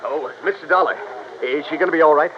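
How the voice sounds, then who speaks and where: A man's voice: on the high side, quick pace, natural voice. An actor playing an anxious, eager businessman in a 1950s radio drama, speaking with concern. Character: anxious businessman land buyer, male. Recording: dull, noisy vintage radio recording.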